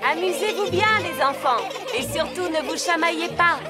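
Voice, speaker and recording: A woman's voice: very high-pitched, normal speed, over loud music, over a noisy crowd. A woman voicing an enthusiastic gazelle schoolteacher in a children's cartoon. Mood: teacherly.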